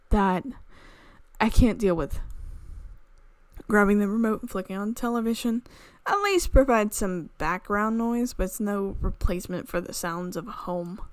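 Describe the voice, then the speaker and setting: A woman streamer reading a visual novel aloud in an expressive storytelling way, with asides. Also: mid-range voice, no room sound. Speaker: video game streamer. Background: game music.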